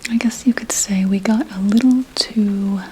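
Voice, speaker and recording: A woman playing a proud, secretive 17th-century witch healer, whispering slowly and formally in an ASMR roleplay. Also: medium pitch, steady tone, a little hiss or hum, natural voice, rough recording. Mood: dry disapproval. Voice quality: breathy unvoiced whisper. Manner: deliberate, hushed, archly formal phrasing.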